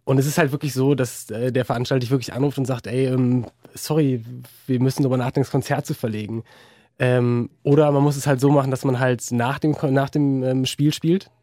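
A man's voice: medium pitch, steady tone, fairly quick, dark tone, no echo, studio quality. A young man, a musician, chatting as a guest in an interview, hesitant and full of fillers and restarts. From Germany. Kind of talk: casual interview chat.

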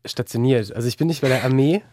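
A young man in a casual interview, talking hesitantly with many fillers and restarts. His voice is dark and mellow, recorded close and dry. He is a musician speaking as a guest. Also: mid-range voice, fast, no room sound. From Germany.

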